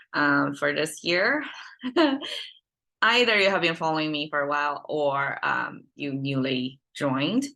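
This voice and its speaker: A woman, a Mandarin speaker, hosting a language lesson in casual, thoughtful speech, pausing often with fillers and restarts. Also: deep voice, bright, forceful tone. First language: Mandarin Chinese. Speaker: host and language teacher. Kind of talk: casual teaching monologue.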